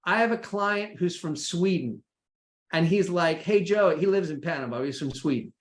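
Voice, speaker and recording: A man's voice: a little high, expressive, moderate pace. An American man presenting an informal, practical session in a conversational way, often checking in with his listeners. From United States. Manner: conversational, checks in with "right?" and "okay?". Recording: echoey room sound.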